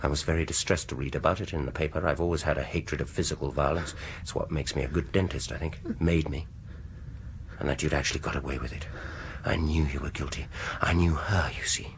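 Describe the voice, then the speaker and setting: An actor playing a menacing dentist bent on revenge in a radio horror drama, deliberate and politely taunting. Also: male voice, extremely low pitch, fairly even intonation, slight background noise. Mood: cold, quiet menace. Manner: soft-spoken politeness turning sinister, deliberate and taunting.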